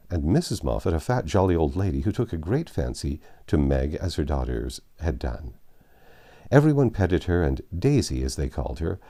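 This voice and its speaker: Very deep, mellow male storybook narrator. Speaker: audiobook narrator.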